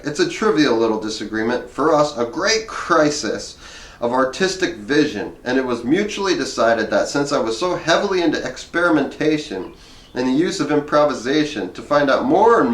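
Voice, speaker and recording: A man's voice: medium pitch, lightly acted. A man voicing a rambling, drug-worn older improv guru in a run-on, scattershot monologue. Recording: roomy, echoing room sound.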